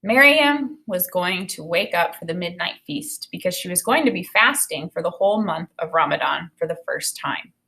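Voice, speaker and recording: A woman in her thirties, an elementary teacher, reading a children's novel aloud and explaining it in an expressive way. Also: on the low side, moderate pace, gravelly, hardly any pauses, poor audio. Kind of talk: read-aloud of a children's novel with think-aloud commentary. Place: small indoor room with bookshelf and whiteboard.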